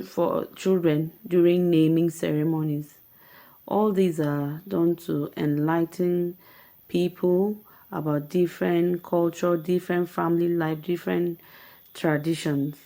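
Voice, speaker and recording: Female, lower register, unhurried and deliberate, explanatory delivery broken into short phrases. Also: dark tone. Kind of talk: prepared spoken answer, explanatory.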